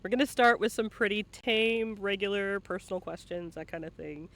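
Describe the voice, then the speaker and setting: A man's voice: high-pitched, moderate pace, a bit bright. A man hosting a casual live-streamed interview, talking informally with playful teasing and swearing, close to his microphone. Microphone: close mic at a computer.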